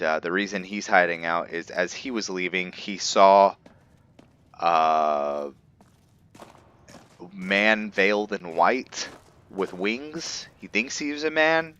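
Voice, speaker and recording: A man with a very low, crisp voice narrates his fantasy game playthrough. He talks slowly and casually, recapping the story in halting, trailing phrases. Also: pushed and bright, muffled recording. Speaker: roleplay gamer and narrator.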